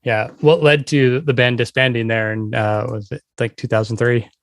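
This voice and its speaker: A man hosting a podcast, asking relaxed interview questions and nodding along with short affirmations. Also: mid-range voice, moderate pace, no room sound. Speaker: podcast host.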